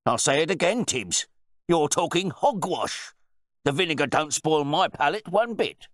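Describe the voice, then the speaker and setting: A man voicing a pompous, blustering, newly rich wine bore with a loud, boastful manner and rough grammar, in a story reading. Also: on the high side, lively intonation, unhurried, dry recording, pitched above the performer's own voice. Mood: indignant.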